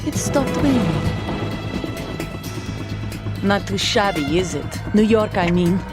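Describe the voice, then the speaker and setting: A woman's voice: medium pitch, expressive, takes it slowly, over loud music. A woman playing a tough young mercenary soldier with a Russian accent, proud and defiant, in a cinematic video game. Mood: cool menace. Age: young adult.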